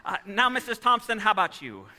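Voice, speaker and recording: A man acts out an earnest young small-town loan man in a vintage radio drama. His voice is very bright and high, stammering and pleading in a folksy way. It carries through a reverberant hall. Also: very animated, a little fast, exaggerated voice. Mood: relieved, brisk. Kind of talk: 1940s radio acting on stage. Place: theatre stage. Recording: echoey hall, live stage taping.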